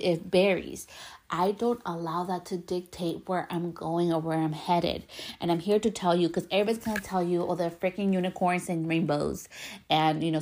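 A woman's voice: on the low side, darkish tone. A woman with a Spanish accent hosting a podcast, giving an earnest, emphatic motivational talk. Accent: Spanish-accented English. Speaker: podcast host. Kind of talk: motivational monologue.

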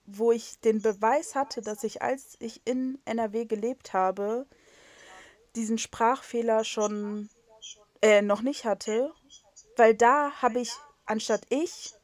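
A woman's voice: fairly high pitch, steady tone, slow, no echo. Chatty young woman on a video call. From North Rhine-Westphalia, Germany. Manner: rambling, self-mocking, frequent fillers and restarts. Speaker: podcast co-host. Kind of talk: casual chat. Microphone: video call recording.

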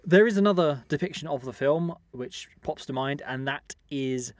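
A man's voice: a little high, normal speed, no room sound. A man co-hosting a podcast, eagerly chiming in on casual film talk and repeating his phrases. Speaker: podcast co-host.

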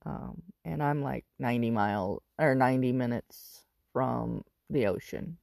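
A woman in her fifties from Northern California, raspy from smoke, talking about her life in a loose, rambling monologue full of ums and restarts. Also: rumbling low, a little slow, a little mellow, no echo, studio quality. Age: 50s. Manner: rambling, with frequent ums and self-corrections. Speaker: podcast host. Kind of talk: casual personal monologue.

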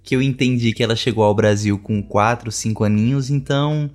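A man's voice: medium pitch, steady tone, fairly quick, a little mellow. A man hosting a podcast who welcomes his guests in an effusive, chatty way. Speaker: podcast host. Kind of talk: podcast interview.

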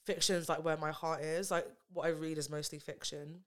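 Chatty young writer, smooth clear voice. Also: mid-range voice, little pitch movement, moderate pace. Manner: conversational, chatty with many fillers. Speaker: guest writer. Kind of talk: casual podcast chat.

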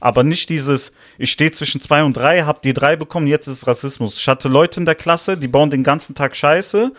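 A man's voice: on the high side, normal speed, fairly bright tone, no room sound, clean studio sound. A young man co-hosting a casual podcast, talking in slangy, argumentative bursts and often checking whether he is understood. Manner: slangy, argumentative, frequent "weißt du, was ich meine". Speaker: podcast co-host.

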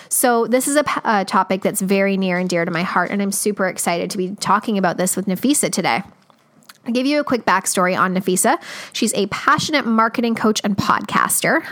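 A woman's voice: medium pitch, a bit bright. Upbeat woman with a scratchy voice. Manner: upbeat, chatty, lots of fillers. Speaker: podcast host. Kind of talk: casual podcast intro and interview.

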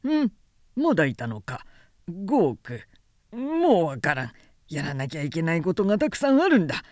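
A woman voicing a busy, gruff older businessman in a storybook reading, preoccupied and brusque. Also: lowish voice, big pitch swings, moderate pace, darkish tone, no room sound, clean studio sound. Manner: brusque, preoccupied, muttering numbers.

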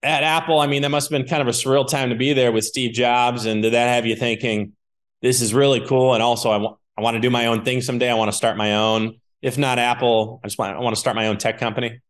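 A man hosting an interview podcast, upbeat and affirming, sometimes trailing off into a quieter, lower voice. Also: level tone, fast. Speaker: podcast host.

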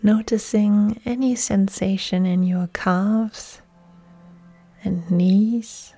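Woman yoga teacher doing guided relaxation, soft soothing breathy voice, pretty even pitch, faint music behind. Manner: soft, breathy, soothing delivery with long pauses. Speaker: yoga instructor.

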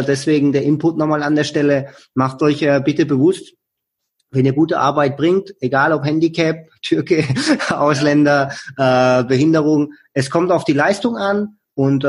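A man shares workplace observations in relaxed talk, turning to address listeners directly with advice. His voice sits a bit high and sounds husky. He acts out the lines of the people he quotes. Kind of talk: casual conversation.

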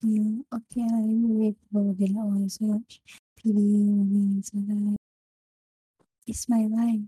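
A young woman with a Singaporean English accent, casually discussing a book with friends and often pausing to find her words. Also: mid-range voice, flat delivery, dark tone, no room sound, low-quality recording. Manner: halting, searching for words. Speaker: book club member.

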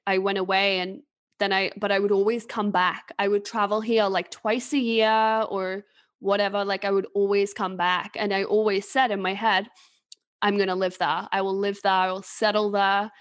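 A woman from England rambles through a story on a casual podcast, restarting her sentences and laughing softly. Her pitch sits in the everyday middle.

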